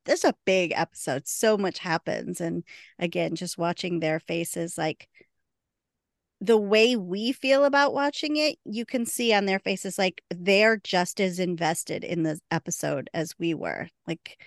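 A woman's voice: lowish voice, unhurried, dry recording. Chatty woman podcast co-host, dry sound. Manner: chatty, laughs often. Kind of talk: casual podcast chat.

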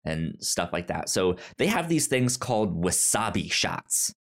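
A man's voice: sits low, very animated, unhurried. A young man hosting a podcast, telling a story in casual chat and often stammering over repeated syllables and words. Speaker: podcast host.

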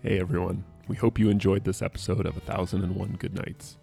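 A man hosting a podcast and chatting casually, with a husky, creaky voice. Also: low voice, flat delivery, fast, mellow, soft background music. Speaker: podcast host. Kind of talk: casual chat.

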